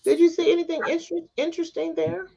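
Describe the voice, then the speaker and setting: A woman's voice: extremely high pitch, little pitch movement, quick pace, vocal fry, background noise. A woman hosting a podcast in casual chat, asking her guests playful questions. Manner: chatty, asks playful questions. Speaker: podcast host.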